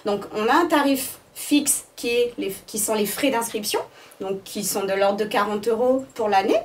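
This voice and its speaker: A woman's voice: medium pitch, normal speed, distant mic, slight background noise. A woman answering interview questions as the director of an arts school, explaining things phrase by phrase with hesitations.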